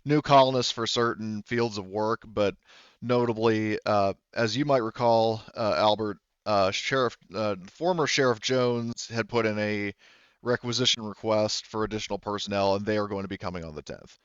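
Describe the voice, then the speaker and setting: Male game master, casual and explanatory, low clean voice, close dry sound with dulled top end. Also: fairly even intonation, moderate pace, clear, resonant tone, no room sound, muffled recording. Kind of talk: casual tabletop game narration and rules talk.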